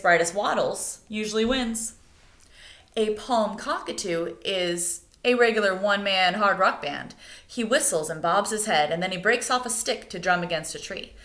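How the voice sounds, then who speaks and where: A woman reads a nature picture book aloud as expressive narration meant for children. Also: mid-range voice. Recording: echoey room.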